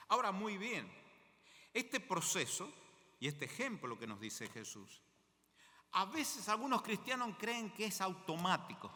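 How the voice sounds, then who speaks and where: An older Argentine man preaches with a bright, forceful voice that rises and falls in lively swings. He talks to the congregation in a conversational way and often checks in with them through questions. His voice carries a long echo, as if it were recorded in a church hall. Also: mid-range voice. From Mendoza, Argentina. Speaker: guest preacher. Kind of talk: sermon.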